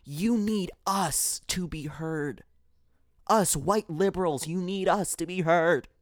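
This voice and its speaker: A man mockingly voicing a preachy, self-important woman who gushes in a breathy, high-pitched way. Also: slow pace, no echo, studio quality.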